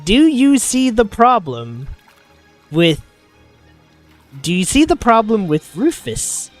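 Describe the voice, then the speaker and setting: A man's voice: high voice, sing-song, slow pace, faint music behind. A man streaming a casual game breakdown, sniffling as he comes down with a cold, swearing and laughing often.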